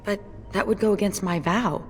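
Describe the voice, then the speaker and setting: A woman's voice: medium pitch, fairly even intonation, moderate pace, a little hiss or hum, lightly acted. A woman playing a stern, fatalistic dwarf warrior in a video game, speaking in an earnest, wry way.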